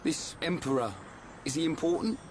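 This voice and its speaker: An actor playing a brash, slow-witted, good-natured young man in a video game, talking bluntly and informally. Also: a little high, a little hiss or hum, lightly acted. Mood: puzzled. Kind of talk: video game cutscene acting.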